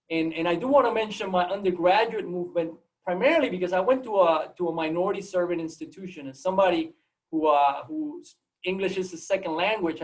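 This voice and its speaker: High-voiced Puerto Rican man, slightly distant mic. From Puerto Rico. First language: Spanish. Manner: long-winded, repeats words as he goes. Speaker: guest seminar speaker, biology professor. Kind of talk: informal storytelling academic talk. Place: remote video call.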